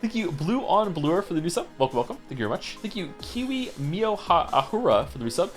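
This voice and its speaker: A man on a livestream runs through viewer messages at a quick clip, his voice rising and falling a lot. He tosses in wry comments between them. Quiet music plays in the background. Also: high-pitched. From Wales. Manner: animated, reads messages aloud quickly with wry asides. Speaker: streamer reading chat messages. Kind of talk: casual livestream chat.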